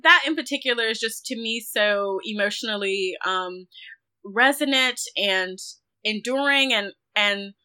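A woman's voice: medium pitch, a little slow, sharp tone, clean tone. A woman speaking as a guest critic in a film discussion, thoughtful and careful, often qualifying her points as she goes. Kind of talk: reflective film discussion interview.

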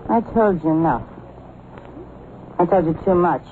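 A woman's voice: on the low side, expressive, a little slow. An actress playing a bitter, frightened gangster's girlfriend, overwrought and repeating herself, in an old-time radio melodrama. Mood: frightened, pleading. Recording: narrow, hissy vintage radio recording.

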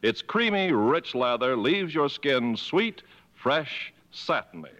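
A man's voice: medium pitch, very animated, slow pace, a bit bright, lo-fi sound. A male 1950s radio announcer reading advertisements and announcements with polished, emphatic salesmanship. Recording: reverberant vintage 1950s radio broadcast.

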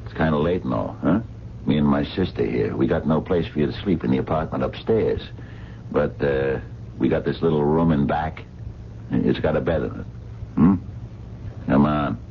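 An American actor playing a gruff, streetwise diner owner with a soft heart, in blunt slangy talk, in a 1970s radio drama. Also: male voice, extremely low pitch, normal speed. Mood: grudgingly kind. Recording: dull, noisy vintage radio broadcast recording.

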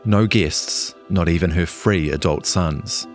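A man's voice: low-pitched, slow, soft background music. A man reading a scripted longform news feature as a journalist narrator.

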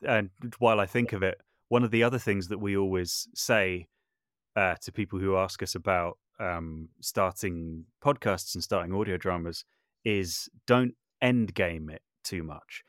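Low-voiced man in thoughtful conversation. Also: moderate pace, a bit bright, no echo, clean studio sound. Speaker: podcast guest, audio drama maker and composer. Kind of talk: reflective interview conversation.

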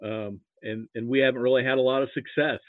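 A middle-aged American man with a Midwestern accent, chatting casually as an interview guest in a halting, hesitant way. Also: medium pitch, steady tone, no room sound. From rural Nebraska, United States. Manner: halting, hesitant delivery with repeated "you know". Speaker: guest, sports fan and archivist. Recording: muffled, narrow phone-like line.

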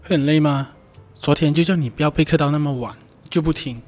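A man's voice: a little high, fairly quick, darkish tone, faint music behind, natural voice. A man with a Malaysian accent playing a playful, doting gym teacher who teases and coaxes his boyfriend in a Chinese radio drama. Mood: cheerfully doting. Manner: teasing, coaxing, sing-song particles. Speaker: amateur voice actor.

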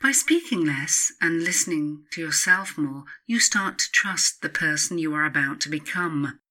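A woman reading her own self-help book as scripted narration, in a measured, instructive manner with emphatic pauses. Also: low voice, expressive, pushed and bright.

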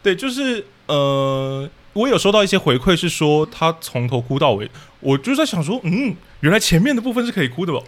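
A man's voice: a little high, big pitch swings, fairly quick, hardly any pauses. A man with a Taiwanese Mandarin accent talking casually as an interview guest, explaining his work as a drama director analytically.

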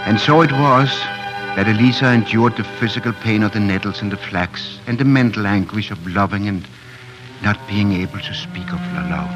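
1940s radio storyteller, male: grave and poetic delivery, lowish register, clearly scored with music behind. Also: animated, normal speed, lo-fi sound. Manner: solemn, lyrical, dramatic. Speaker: storyteller narrator.

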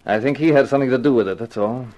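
An actor playing a cool, flirtatious, wisecracking private eye in a 1950s radio detective drama, with wry, slangy, clipped delivery. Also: male voice, mid-range voice, racing, darkish tone, muffled recording, close to an everyday voice. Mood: dry, flirtatious. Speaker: actor and first-person narrator. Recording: 1950s radio recording with music behind.

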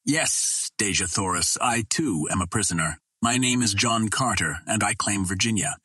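Man reading as gallant Earthman captain, storybook hero, husky, low, formal, proud, dry close sound. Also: pushed and bright, no room sound, heavily acted. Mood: earnest. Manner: formal, courtly, firm.

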